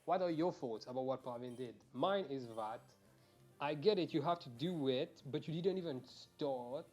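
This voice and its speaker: A young man talks loosely to his viewers while he plays, drifting between topics and cursing without a second thought. His voice sits at an ordinary middle pitch and comes through a quiet earphone mic, with faint game music underneath. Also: normal speed. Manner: rambling and chatty, swears freely, talks to viewers. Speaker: streamer. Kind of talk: casual live game stream chat. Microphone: earphones mic, quiet and low in level.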